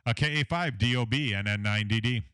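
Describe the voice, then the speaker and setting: A deep-voiced man with a Southern American accent, chatting casually about his radio audio setup from his home shack, with a lot of fillers and technical talk. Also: brisk, fairly bright tone, clear, resonant tone. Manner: rambling, filler-heavy, technical. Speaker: ham radio operator, station owner.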